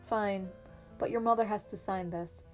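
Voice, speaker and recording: A weary male teacher voiced by a woman narrator, stern but quietly protective. His lines are short and plain, in a story reading with soft piano music behind. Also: mid-range voice, fairly even intonation, normal speed, lightly acted.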